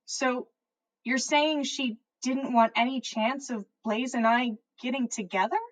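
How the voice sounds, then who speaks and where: A woman's voice: a little high, fairly even intonation, unhurried, rough recording. A woman reading a story and voicing an earnest, confused, lovestruck teenage witch with a pleading, questioning manner. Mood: bewildered.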